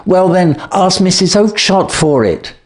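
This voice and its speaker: A man voicing a surly, cocksure Victorian London poultry salesman in a storybook reading, gruff and blustering. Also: high voice, expressive, measured pace, pitched above the performer's own voice. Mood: angry. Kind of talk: storybook character voice.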